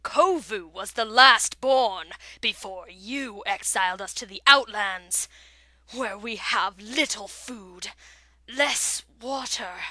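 A woman voicing a scheming, embittered cartoon lioness with a breathy, menacing delivery. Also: medium pitch, pushed and bright, no echo. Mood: bitter. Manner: slow, menacing, theatrical.

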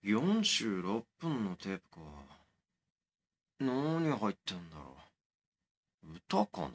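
A man as slow, casual young guy, very animated. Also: on the low side, exaggerated voice. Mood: musing. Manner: colloquial, blunt, muttering to himself. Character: easygoing young man, new in the city, talking casually. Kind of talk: naturalistic audio drama dialogue.

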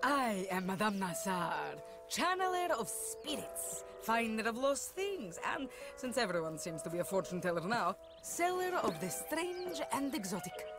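Theatrical, lilting fortune teller. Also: female voice, a little high, big pitch swings, a little slow, hardly any pauses. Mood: coaxing. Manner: theatrical, mysterious, coaxing. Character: theatrical female fortune teller and dealer in curiosities. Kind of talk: video game cutscene acting. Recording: music behind the voice.

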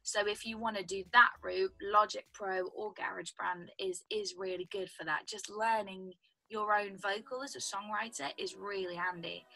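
A young British woman with a husky voice, a songwriter guest chatting casually over a video call from home. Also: mid-range voice, fairly even intonation, moderate pace, bright, forceful tone, low-quality recording. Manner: chatty, explains with lots of "like" and "do you know what I mean". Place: home room indoors. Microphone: video call webcam.

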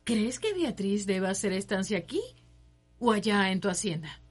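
A woman's voice: medium pitch, expressive, normal speed, slightly rough. An actress playing a doting, fussy middle-aged mother of a planter family in a radio soap, with a Cuban accent. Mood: coaxing. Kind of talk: radio soap opera acting.

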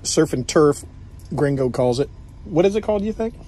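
A man's voice: a little high, expressive, brisk, mellow. An American man chatting casually and narrating a road trip vlog in a laid-back, joking, folksy way. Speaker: travel vlogger. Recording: noisy phone audio with vehicle and outdoor noise.

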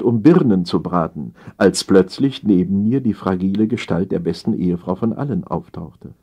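Deep, husky male satire narrator. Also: warm tone. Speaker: audiobook narrator.

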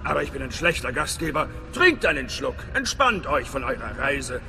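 An actor playing a hearty, wry middle-aged spy station chief in a video game, theatrical and conspiratorial. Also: male voice, mid-range voice, sing-song, moderate pace, vocal fry, sharp tone, exaggerated voice. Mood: jovial, wry. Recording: long reverb, game music behind.

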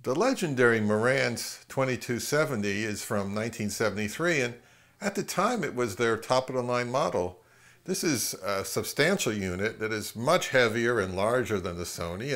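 A man's voice: mid-range voice, fairly bright tone. A man, giving a conversational product review as an audio gear reviewer.

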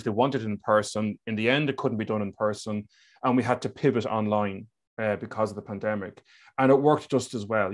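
A middle-aged man with an Irish accent, speaking emphatically as a webinar panelist over a headset. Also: lowish voice. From Ireland. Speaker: education leader, webinar panelist. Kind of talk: explanatory panel talk. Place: indoors on a video call.

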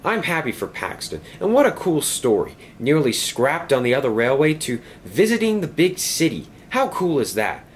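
An eager little tank engine talks with excitement in a raised, lively voice, voiced by a man. It is a storybook toy-train hero. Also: a little high, normal speed, few pauses, a little hiss or hum, pitched above the performer's own voice.

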